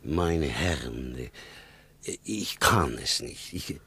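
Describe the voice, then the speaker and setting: An actor playing an anxious spa director in a radio play, husky, flustered and hesitant, repeating himself. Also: male voice, extremely low pitch, lively intonation, extremely slow, pushed and bright, exaggerated voice. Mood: resigned, strained. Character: anxious Soviet spa director, afraid of responsibility.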